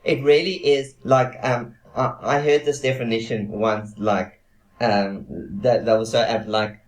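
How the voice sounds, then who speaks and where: A man's voice: mid-range voice, steady tone. South african guy hosting a chat, lots of likes and restarts, roomy echo on his mic. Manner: halting, filler-heavy, frequent restarts. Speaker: host. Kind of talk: casual video-call interview.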